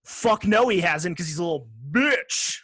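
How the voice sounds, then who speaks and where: A man's voice: high voice, expressive, normal speed, a bit bright, no echo. A man hosting a podcast, chatting casually about entertainment in emphatic, profanity-laced slang. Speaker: podcast host. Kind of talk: casual podcast chat about movies and games.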